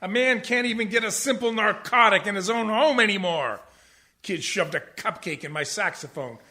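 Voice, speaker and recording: A man's voice: sits high, moderate pace, sharp tone. A man playing an aggrieved, washed-up older jazz musician in a comic radio play, grumbling theatrically and swelling into indignation. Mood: indignant. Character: aggrieved, ranting, washed-up older jazzman, husband and father.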